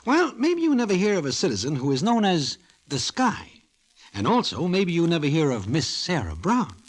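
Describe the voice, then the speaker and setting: An actor playing a wry small-time gambler from Broadway in an old-time radio drama, speaking stilted formal slang. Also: male voice, fairly high pitch, huge pitch swings, moderate pace. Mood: wry storytelling.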